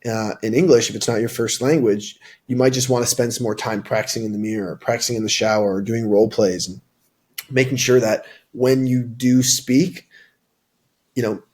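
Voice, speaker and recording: A man's voice: mid-range voice, steady tone, normal speed, darkish tone, breathy edge. A young man working in tech sales, answering interview questions casually with lots of fillers, restarts and "like, you know.".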